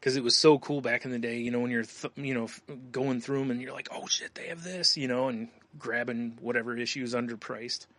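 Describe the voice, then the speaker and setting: A man's voice: mid-range voice, fairly even intonation, fairly quick, hardly any pauses, a bit bright, no room sound. A man co-hosting a podcast in casual, rambling conversation, often dropping in "you know.". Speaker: podcast co-host. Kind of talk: casual chat.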